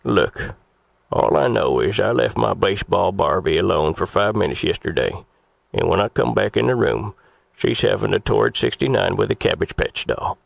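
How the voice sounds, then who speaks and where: A man's voice: low voice, animated, moderate pace, creaky, exaggerated voice. A man voicing an indignant older crank customer on a comedy prank call, stammering and then ranting crudely. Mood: exasperated. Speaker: prank caller on a radio show.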